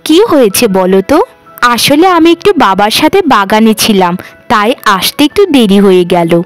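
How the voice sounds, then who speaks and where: A woman voicing a gentle, dutiful youngest daughter, earnest and conciliatory, in a cartoon. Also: mid-range voice, lively intonation, moderate pace, soft background music, close to an everyday voice. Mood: apologetic. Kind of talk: cartoon voice acting.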